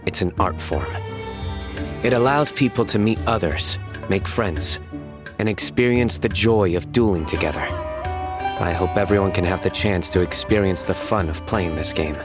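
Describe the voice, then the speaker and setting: An actor playing a stern, deadpan young male judge with a taste for bad puns, in a game cutscene. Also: sits low, moderate pace, lightly acted. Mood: solemn. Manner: clipped, deadpan and solemn. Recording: game soundtrack music behind.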